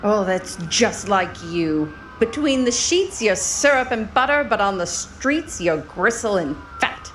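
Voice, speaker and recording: An actress playing a flirtatious, evasive femme fatale in a noir radio play, teasing and sultry. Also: female voice, medium pitch, animated, a little slow, few pauses, slight background noise, natural voice, rough recording. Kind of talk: 1940s-style radio play acting.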